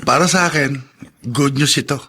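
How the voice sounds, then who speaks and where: An actor playing a bitter, vengeful young man in a radio drama, with a rough-edged voice and dramatic phrasing. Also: fairly high pitch, moderate pace, sharp tone, no room sound, studio quality. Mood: smugly pleased. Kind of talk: theatrical radio drama acting.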